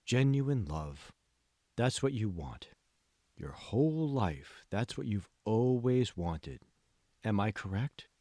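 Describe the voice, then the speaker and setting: A man playing a calm, manipulative male mentor in an audiobook, speaking slowly and deliberately with hushed, condescending questions. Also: fairly low pitch, no echo, clean studio sound, exaggerated voice. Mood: smooth, probing. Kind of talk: dramatic audiobook dialogue.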